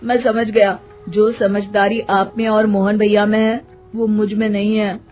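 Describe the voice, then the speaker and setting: A man's voice: high-pitched, flat delivery, measured pace, a little mellow, faint music behind. A man playing a boastful, naive young shopkeeper son in a cartoon story, showy and exclamatory. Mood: panicked, defeated.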